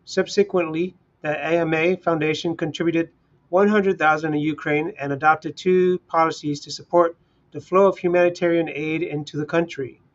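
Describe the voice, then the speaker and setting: A man with a fairly high voice hosts a casual read-along livestream. His delivery is informal and unpolished, like an amateur reader. The audio is a little dull and lo-fi. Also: fairly even intonation, moderate pace. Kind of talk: casual livestream talk and reading articles aloud.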